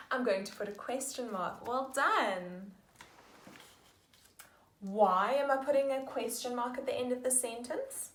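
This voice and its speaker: A woman teaching young children, slowly sounding out sentences and praising them warmly. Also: a little high. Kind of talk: slow, patient lesson for young children. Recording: echoey room.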